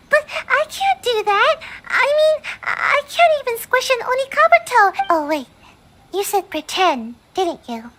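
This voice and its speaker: A woman's voice: very high voice, fairly bright tone, exaggerated voice. A woman playing a small, sleepy, timid young girl ninja in an anime video game, with a whiny, childlike manner.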